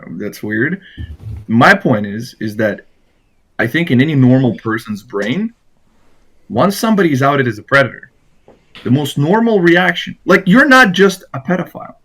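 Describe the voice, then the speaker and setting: A man's voice: mid-range voice, big pitch swings, moderate pace, fairly bright tone. A man questioning someone on a recorded call in an insistent, confrontational, off-the-cuff way. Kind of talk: spontaneous interrogation on a call.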